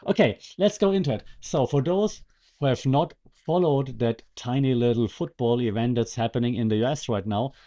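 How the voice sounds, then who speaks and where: A man with a relaxed, mellow voice talks through commentary as a podcast host. His delivery is casual and conversational, with wry, sarcastic asides. His pitch sits in an ordinary middle range for a man. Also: normal speed. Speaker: podcast host and media lecturer.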